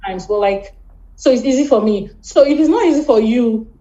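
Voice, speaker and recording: A woman's voice: a little high, animated, dark tone. Nigerian woman, dull lo-fi call audio. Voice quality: halting, repeats words, laughs often. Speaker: guest. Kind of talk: casual interview chat.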